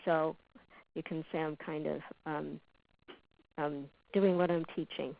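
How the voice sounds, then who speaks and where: A woman from Uganda, a patient and public health specialist, talking in a relaxed, thoughtful way with many fillers. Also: low-pitched, a little slow, vocal fry, dry recording. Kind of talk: conversational webinar talk. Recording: tinny phone-like line.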